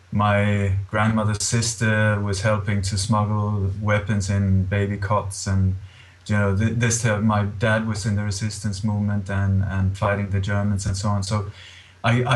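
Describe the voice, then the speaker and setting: Middle-aged male interview guest, Scandinavian accent, lowish flat-toned voice, halting and earnest. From born in Denmark, grew up in Sweden.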